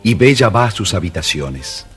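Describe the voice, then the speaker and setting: A man with an Argentine Spanish accent narrating a children's story calmly on an old audio record. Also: lowish voice, moderate pace, faint music behind. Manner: calm, even storytelling.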